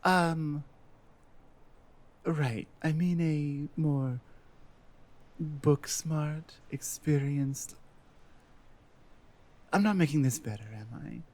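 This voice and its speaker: A woman playing an earnest, loyal warrior-healer companion in a fantasy ASMR roleplay, with a hesitant, halting delivery. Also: deep voice, a little mellow. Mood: awkward, earnest. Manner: hesitant, halting, with stammered restarts. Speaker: audio roleplay performer.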